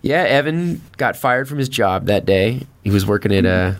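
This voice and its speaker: A man's voice: lowish voice, animated, normal speed. A man chatting casually as a podcast guest, telling stories in a blunt, slangy, sweary way. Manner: blunt, slangy, heavily profane. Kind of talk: casual storytelling and chat.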